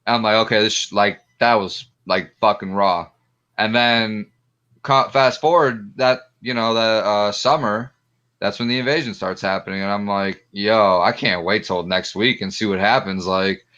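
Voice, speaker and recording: Bright, forceful young man, dull recording. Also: fairly low pitch, moderate pace. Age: 20s. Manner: slangy, profane, enthusiastic. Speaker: podcast panelist. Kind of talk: casual storytelling chat. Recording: dull lo-fi sound.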